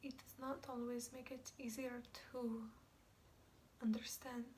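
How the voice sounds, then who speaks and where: A woman acting a guilt-ridden, fragile Polish woman in a dramatic monologue, with a Polish accent and halting, stammering speech that often drops to whispers. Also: fairly high pitch, little pitch movement, a little mellow, distant mic, a little hiss or hum, lightly acted. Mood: hesitant, sorrowful. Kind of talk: dramatic film monologue, amateur.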